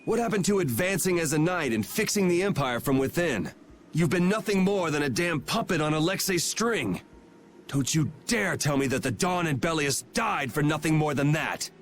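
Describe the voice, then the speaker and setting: A man's voice: a little high, expressive, normal speed, a little hiss or hum. An actor playing a brash, cynical young swordsman with a blunt, sarcastic manner in a video game. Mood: accusing. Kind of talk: English video game voice acting.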